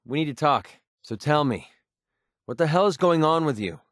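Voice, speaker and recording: Guarded, plain-spoken mercenary, close and dry. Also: male voice, a little high, animated, moderate pace, a bit bright, no room sound. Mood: exasperated, confrontational. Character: guarded, wounded young male mercenary, sarcastic, quick-tempered but loyal. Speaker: companion character in a video game. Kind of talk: naturalistic video game voice acting.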